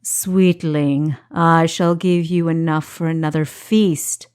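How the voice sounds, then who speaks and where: A woman's voice: sits low, fairly even intonation, slow pace, a little mellow, clear, resonant tone. A woman voicing a genial, courtly male doctor at a storybook dinner, with formal, grandly gracious phrasing. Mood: generous.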